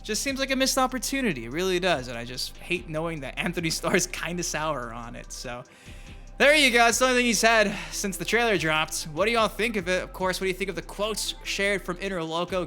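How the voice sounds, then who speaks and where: A man's voice: high voice, lively intonation, fairly quick, sharp tone. A man speaking as host of a gaming news channel, giving casual, colloquial opinion commentary straight to his viewers. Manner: colloquial, profane, addresses viewers directly. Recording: music behind the voice. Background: music.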